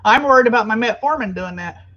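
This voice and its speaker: A woman's voice: a little high, expressive, quick pace, crowd noise. A woman hosting a casual live chat, laid-back and laughing often. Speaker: stream host. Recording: dull lo-fi mic.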